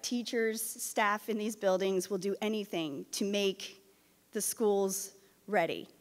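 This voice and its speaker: A worried woman speaks with heartfelt concern at a desk microphone. Her voice sits mid-range, and a long reverb trails her words through a large boardroom. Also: medium pitch. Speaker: school board member. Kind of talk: statement at a board meeting. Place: boardroom, indoors.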